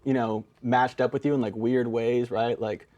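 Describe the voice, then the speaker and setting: A man's voice: mid-range voice, little pitch movement, moderate pace, mellow, muffled recording. A young man with an American accent, a guest songwriter telling stories in an informal, meandering way. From Brazil-born, raised in the San Francisco Bay Area. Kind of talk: casual storytelling.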